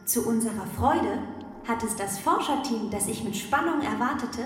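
A woman's voice: fairly high pitch, lively intonation, normal speed, natural voice. A woman playing an imperious artificial-intelligence ruler of a space ark in a children's radio play, formal and commanding. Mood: ceremonial announcing. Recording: long echoing reverb with music behind.

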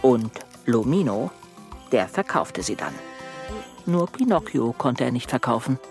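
A man playing an expressive, concerned storyteller who narrates a children's radio play. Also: medium pitch, unhurried, creaky, faint music behind. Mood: dramatic storytelling.

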